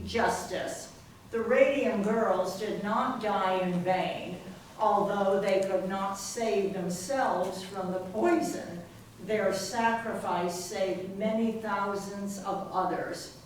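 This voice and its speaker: A woman narrator reading a script in a measured, formal manner for readers theater. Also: mid-range voice. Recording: distant mic, very echoey room, faint noise.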